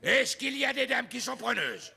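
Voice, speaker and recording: An actor playing a brash, mocking leader of beggars in a French cartoon dub, gravelly and theatrical. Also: male voice, extremely high pitch, very animated, moderate pace, full character voice. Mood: bossy, gleeful. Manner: theatrical, taunting.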